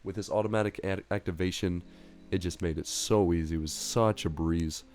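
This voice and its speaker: Deep-voiced man with a stuffy, nasal voice. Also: fairly quick, darkish tone. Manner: easygoing, rambling with frequent uh and um. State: nasal and slightly hoarse from recent illness. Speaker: gaming channel host. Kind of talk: casual gameplay commentary voice-over. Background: guitar music.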